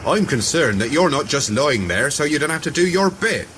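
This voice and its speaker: A sharp, hectoring soldier. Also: male voice, fairly high pitch, big pitch swings, moderate pace, a little hiss or hum. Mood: reproachful. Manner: reproachful, commanding. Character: stern, hectoring soldier comrade barking drill orders. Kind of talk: radio play acting.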